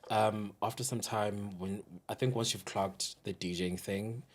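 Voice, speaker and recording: A young South African man with a notably deep voice talks as a guest on a casual podcast. He is a DJ and producer, and his delivery is candid and conversational. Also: fairly even intonation, a little slow.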